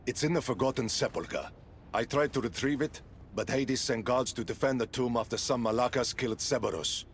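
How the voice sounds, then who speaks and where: An actor playing a proud but troubled dead Spartan general in a video game, earnest and solemn. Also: male voice, medium pitch, brisk, a little hiss or hum, lightly acted. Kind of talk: dramatic video game acting.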